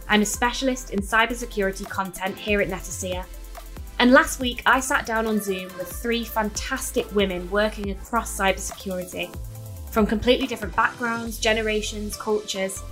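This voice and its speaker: A woman's voice: medium pitch, a bit bright. A woman hosting a podcast, giving scripted introductions and putting questions to guests. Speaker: podcast host.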